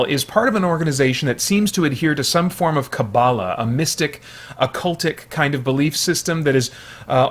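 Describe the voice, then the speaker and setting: A man's voice: on the high side, a bit bright. A man hosting a video, giving analytical commentary in a conversational, explanatory way. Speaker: video host.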